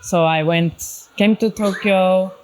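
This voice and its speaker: A woman from Switzerland speaking as a panel guest, explaining her work in a measured, conversational way. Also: mid-range voice, fairly even intonation, a little mellow, slight background noise, low-quality recording. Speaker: panel guest, craft brand founder and designer. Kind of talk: conversational self-introduction in a panel talk.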